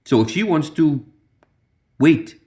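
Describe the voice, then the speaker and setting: A man's voice: on the high side, expressive, slow pace, a little mellow, pitched above the performer's own voice. A man voicing a sly, playfully cruel supernatural young woman in a story reading, knowing and teasing. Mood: knowing, earnest.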